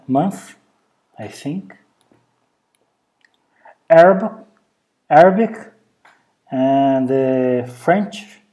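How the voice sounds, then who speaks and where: Brazilian portuguese accented speaker, talks really slow with lots of uh pauses, mellow low-key voice, casual show and tell at home. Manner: hesitant, halting non-native English with many pauses and fillers. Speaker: vlogger. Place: indoors at home, over a bed.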